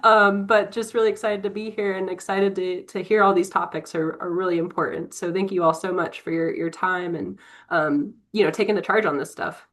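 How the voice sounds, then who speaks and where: A woman's voice: on the low side, fairly even intonation, fairly quick, fairly bright tone. Congested young woman on a video call. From Tennessee. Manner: upbeat, chatty, frequent fillers. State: congested from a cold. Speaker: prospective board member. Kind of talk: casual self-introduction on a video call.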